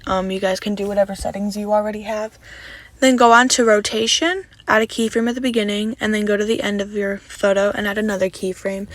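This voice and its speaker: A young woman talks through a video editing tutorial in a casual, friendly voice, slightly raspy from just waking up. She explains each step plainly, often wrapping up with "so yeah". Her voice is close to the mic and completely dry. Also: medium pitch, no echo. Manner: relaxed, chatty step-by-step instructions with "so yeah" fillers. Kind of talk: casual screen-recorded tutorial voice-over.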